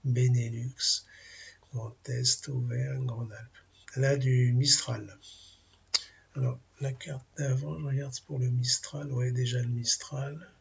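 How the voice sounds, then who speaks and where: A man with a cold and a French accent giving an informal weather briefing, halting and full of fillers as he talks through maps. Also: mid-range voice, level tone, muffled recording, slight background noise. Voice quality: gravelly, husky. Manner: halting, many fillers, thinking aloud over weather maps. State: has a cold, clears throat. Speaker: amateur weather forecaster.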